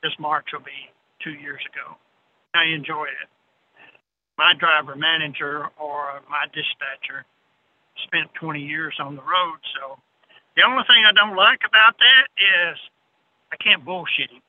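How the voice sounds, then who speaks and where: A man's voice: on the high side, steady tone, a little slow, slight background noise. Tinny phone-line older man, folksy. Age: 60s. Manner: plainspoken, folksy. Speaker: guest, veteran truck driver. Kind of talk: casual anecdotal storytelling.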